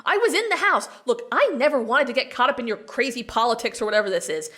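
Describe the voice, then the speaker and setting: A woman's voice: a little high, lively intonation, fast talker, a bit bright. An actress playing a feisty, exasperated young farm girl in an audio drama, blunt, emphatic and sarcastic. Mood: exasperated, protesting.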